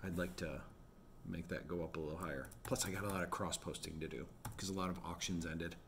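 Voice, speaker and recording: A man's voice: low-pitched, steady tone, fast talker, vocal fry, noisy background. A man in his forties chatting casually in a vlog about his day at home. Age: 40s. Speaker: vlogger running an online resale business. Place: home office with bookshelves.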